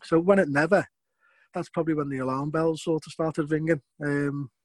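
A man's voice: a little high, steady tone, moderate pace, darkish tone, no echo. Scouse man telling stories, dry sound. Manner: rambling, repeats words, chuckles between points. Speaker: former footballer. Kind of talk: interview storytelling.

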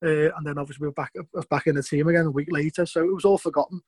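A man's voice: fairly high pitch, fairly even intonation, very fast, darkish tone, slightly rough, no echo. A middle-aged former footballer with a Scouse accent, recounting his career in a rambling way, often repeating words. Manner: rambling, repeats words, chuckles between points. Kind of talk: interview storytelling.

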